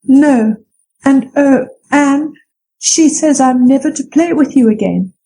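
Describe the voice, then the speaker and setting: Casting: woman as a tearful young girl, high and soft, mournful. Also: slow, poor audio. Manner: sobbing, mournful.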